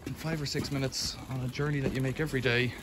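A man's voice: mid-range voice, fairly even intonation, fast. Chatty British man filming outdoors. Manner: rambling, chatty, matter-of-fact. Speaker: vlogger. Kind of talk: casual walk-and-talk commentary. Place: outdoors in back alleys. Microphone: handheld phone camera. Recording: loud outdoor noise behind voice.

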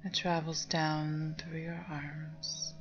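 Female meditation guide, low soft breathy voice, calming near-whisper, slightly muffled lo-fi recording. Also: takes it slowly. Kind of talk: guided meditation, slow spoken instructions. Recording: dull lo-fi sound under music. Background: meditation music with singing bowls.

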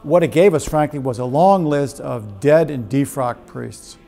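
A middle-aged priest argues his point insistently in a documentary interview. His voice is gravelly and warm, recorded close in a dim indoor room with soft music beneath. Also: mid-range voice, a little slow. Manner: emphatic, insistent.

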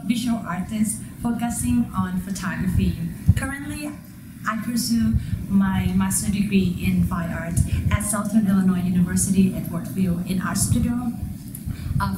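Thai-accented young woman, formal introduction. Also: medium pitch. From Thailand. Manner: formal, careful phrasing with small slips. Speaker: graduate art student. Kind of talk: self-introduction as an artist. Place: indoor art gallery. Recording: steady background noise.

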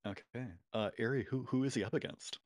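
A man's voice: mid-range voice, brisk, slight background noise. A man acting as game master of a tabletop game, talking with lots of fillers and restarts. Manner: hesitant, many fillers and restarts. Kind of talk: running a tabletop game session.

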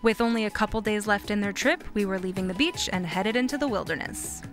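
A woman's voice: medium pitch, fast talker. Woman narrating a sailing vlog over music. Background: background music.

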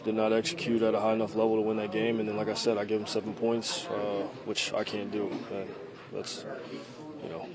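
Young man, low and monotone, halting postgame answers into close handheld mics, press crowd murmuring behind. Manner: halting, repeats phrases, many fillers, subdued. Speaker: quarterback at a postgame press conference. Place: locker room. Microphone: handheld reporter mics at close range.

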